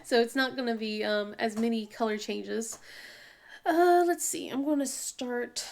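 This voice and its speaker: A woman chatting casually as she works on a craft project, halting with many ums and restarts, sniffly from a cold. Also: on the high side, gravelly, a little mellow. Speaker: diamond painting vlogger. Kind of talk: casual chat while crafting.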